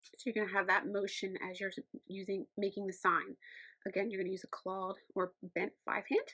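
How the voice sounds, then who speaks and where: A woman's voice: medium pitch, flat delivery, normal speed, fairly bright tone, slightly rough. A woman teacher explaining things step by step in an instructional lesson for children. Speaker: teacher of the deaf.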